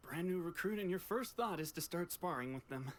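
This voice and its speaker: An actor playing an easygoing soldier in a video game, speaking in a friendly, gently chiding way. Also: male voice, sits high, animated, a little fast. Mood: teasing concern.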